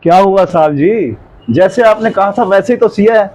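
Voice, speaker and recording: An actor playing a poor, honest tailor with a deferential, pleading way of speaking in a moral drama. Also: male voice, high-pitched, moderate pace, a little mellow, lightly acted. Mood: defensive. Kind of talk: moral story drama acting.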